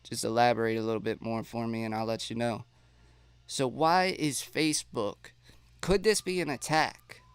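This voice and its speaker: A man's voice: medium pitch, steady tone, normal speed, smooth, no room sound. A man with a stuffy nose hosting a casual live stream, chatting and reading viewer comments aloud.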